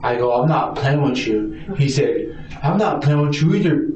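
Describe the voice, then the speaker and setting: A man's voice: medium pitch, expressive, normal speed, a little mellow. A man answering questions in a police interrogation as a suspect, rambling and repeating himself with stammered restarts, agitated and defensive. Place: indoor police interview room. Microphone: distant room recording microphone. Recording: distant, echoey, muffled noisy interrogation recording.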